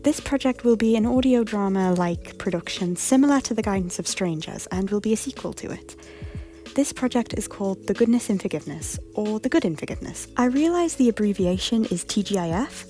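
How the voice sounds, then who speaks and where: A woman's voice: medium pitch, lively intonation, brisk, warm tone. A woman reading a scripted announcement as a project creator, in a polite, explanatory way. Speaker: creator announcing a voice casting call. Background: background music.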